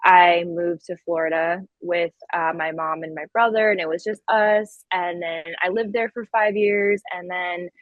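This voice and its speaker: A woman in her thirties talks as a podcast guest in an informal, conversational way. Her voice is on the low side, and the recording is dry and a little dull. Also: steady tone, measured pace, few pauses, no echo. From Connecticut, US East Coast. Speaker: podcast guest, event planner. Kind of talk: casual interview chat.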